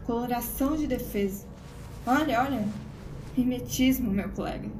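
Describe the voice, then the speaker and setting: A woman's voice: fairly high pitch, normal speed, natural voice. A woman with a Brazilian accent playing a homeless, bitterly resigned doomsayer in an audio drama, speaking with urgent emphasis. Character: homeless woman, wary doomsayer witnessing an alien invasion. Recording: echoey room with faint background noise.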